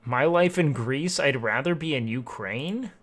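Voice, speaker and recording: A man's voice: medium pitch, animated, measured pace, noisy background. A man streaming live, reacting to videos with blunt, profane asides.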